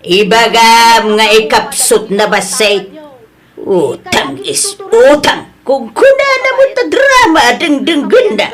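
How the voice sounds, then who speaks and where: An actress plays a put-upon mother who pleads and dodges over a debt. She speaks slowly in a fairly high voice with an Ilocano Filipino accent, in the manner of a comic audio drama. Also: big pitch swings, fairly bright tone, exaggerated voice. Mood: indignant.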